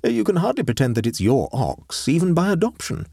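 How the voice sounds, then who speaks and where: A man voicing a mild gentleman artist in a storybook reading, precise, dry and politely stubborn. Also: mid-range voice, big pitch swings, a little fast, husky, dry recording, voice pushed up. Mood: calmly reproving. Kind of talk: storybook character voice.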